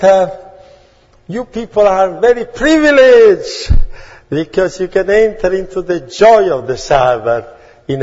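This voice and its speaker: Guest preacher, Italian-accented man, slightly high, husky; dull recording with long reverb. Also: a little mellow. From Italy. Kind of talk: sermon greeting.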